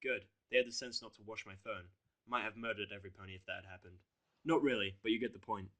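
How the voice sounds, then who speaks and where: A man's voice: fairly low pitch, fast, a bit bright. A man reading a first-person fan fiction story as narrator, with a blunt, sardonic delivery.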